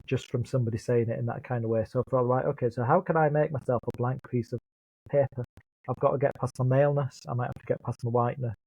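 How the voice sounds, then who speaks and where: A man's voice: medium pitch, a little mellow, no room sound, low-quality recording. A man with a Leeds accent, speaking earnestly and thoughtfully as a guest in an interview, often repeating words as he starts a thought. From Leeds, England. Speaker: guest, project lead. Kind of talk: reflective interview conversation.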